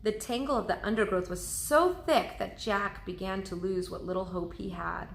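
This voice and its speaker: A woman's voice: medium pitch, normal speed, creaky, a little hiss or hum. A woman reading a children's storybook aloud in the manner of a teacher. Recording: roomy, echoing indoor sound.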